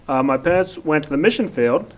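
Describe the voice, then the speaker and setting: A man's voice: on the high side, animated, brisk. A man born in Oklahoma, a council candidate giving plain, matter-of-fact answers.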